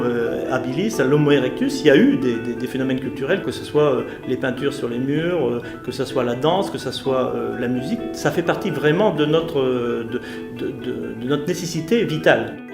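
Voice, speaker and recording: A middle-aged man speaking off the cuff in an interview, halting and reflective as he searches for words. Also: mid-range voice, gravelly. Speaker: cultural youth worker. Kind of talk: documentary interview, unscripted. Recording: roomy echo, music underneath.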